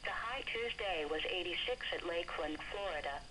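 A woman's voice: on the low side, expressive, normal speed. A woman's synthetic computer voice reading scripted forecasts as a radio weather announcer, formal and clipped. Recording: hissy weather radio speaker, re-recorded.

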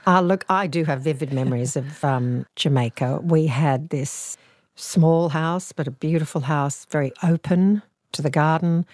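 A woman in her sixties with an Australian accent, an actor recalling her family history in a measured, reflective way. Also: low-pitched, no echo, studio quality. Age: 60s. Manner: thoughtful, measured, gentle. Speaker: actor and author guest. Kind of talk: reflective interview storytelling. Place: living room, seated on a sofa.

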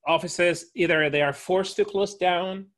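A man's voice: high-pitched, steady tone, measured pace, a bit bright. A man in his thirties with a Spanish-speaking accent, hosting an interview over a headset and often repeating words as he thinks. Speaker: host. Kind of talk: casual interview chat. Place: office with banner. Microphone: headset on video call.

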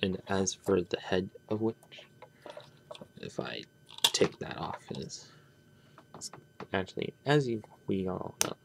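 A man giving an unscripted hands-on review, talking in a halting, rambling way and often trailing off. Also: low-pitched, very animated, vocal fry, noisy background. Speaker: toy reviewer. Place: indoors at a tabletop.

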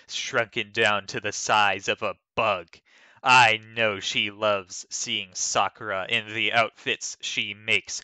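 A man reads written text aloud as if on stage, in a slightly low voice with a very bright, crisp edge. His delivery is slow, measured and dramatic, and the recording is dry and close. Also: no echo. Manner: theatrical, deliberate, measured delivery. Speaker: dramatic reader of an online comment. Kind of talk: dramatic scripted reading.

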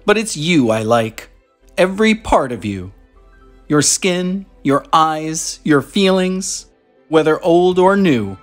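An American man in his thirties hosting a children's read-aloud show, speaking to young viewers in clear, simple phrases as he presents and reads from a script. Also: fairly high pitch, lively intonation, slow, echoey room, faint music behind. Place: small furnished room indoors.

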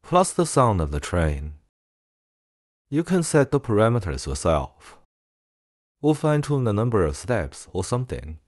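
Guy walking thru a software tutorial, lowish voice that goes up and down a lot, really dry close sound. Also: sing-song, a little slow, long pauses, no room sound. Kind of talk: casual screen-recorded software tutorial.

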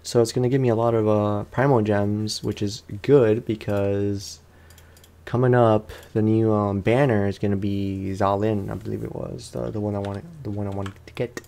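A man's voice: fairly low pitch, flat delivery, normal speed, creaky, mellow. A man doing casual let's play commentary, talking in an informal, slangy way and often repeating his phrases. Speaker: gaming streamer.

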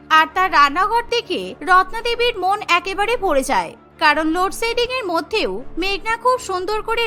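Female cartoon narrator, extremely high-pitched, bright tone, scripted storytelling, music underneath. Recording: music bed behind the voice.

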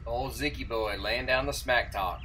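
A man's voice: medium pitch, moderate pace, sharp tone, a little hiss or hum. A man in his thirties, a fishing vlogger and father, talking in an upbeat, chatty way about his gear and his day on the lake. He speaks partly on a boat-mounted action camera and partly in voice-over narration. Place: outdoors on a lake boat and in a carport. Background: background music.

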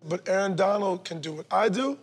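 Man, talk host, husky, slightly high pitch, casual group chat. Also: animated, fairly quick. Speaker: show host. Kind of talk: casual round-table chat. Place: large barbershop-style studio lounge indoors.

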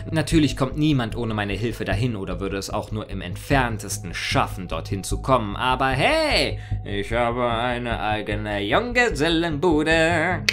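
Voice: mid-range voice, lightly acted. A performer voicing an immortal, mischievous chaos creature in a theatrical fan audio monologue, mock-formal, sardonic and playfully rambling. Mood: smug, wry. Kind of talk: theatrical first-person character monologue in a fan audio story.

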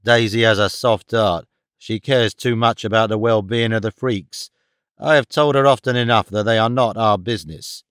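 A man voicing a gruff, domineering, bigoted father in an audiobook, curt and commanding. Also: lowish voice, fairly even intonation, normal speed, fairly bright tone, no echo, clean studio sound, lightly acted. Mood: curt, dismissive. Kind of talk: audiobook character dialogue.

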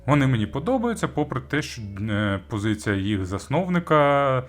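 A man's voice: medium pitch, sing-song, normal speed. A man giving a conversational product review as host, searching for words and adding informal asides. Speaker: audio gear reviewer and host.

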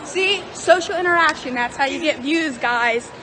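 High-pitched young woman vlogging amid noise. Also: moderate pace, bright, forceful tone. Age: young adult. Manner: playful, teasing. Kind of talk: casual vlog chat. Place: busy stores, mall and parking lot. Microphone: handheld camera at arm's length. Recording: noisy handheld recording with crowd chatter.

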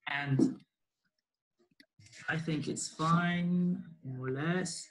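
A man's voice: a little high, fairly even intonation, drawn-out words, background noise. A man teaching a live online dance class from his living room, giving step-by-step instructions in an encouraging way. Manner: encouraging, hesitant between instructions. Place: living room at home, indoors. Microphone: laptop or webcam mic across the room. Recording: roomy, distant microphone.

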